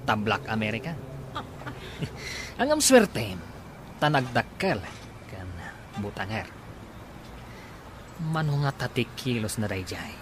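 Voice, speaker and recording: A man's voice: fairly low pitch, big pitch swings, slow, long pauses, slight background noise. A Filipino man playing a brash, mocking, jealous young man in a radio drama. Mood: mocking, teasing. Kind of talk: Ilocano radio drama acting.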